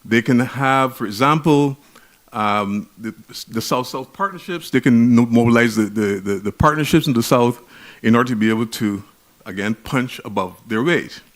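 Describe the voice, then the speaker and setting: Barbadian guy lecturing at a conference, emphatic, keeps restarting words, middle pitch. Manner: measured, emphatic, with frequent restarts. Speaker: conference speaker.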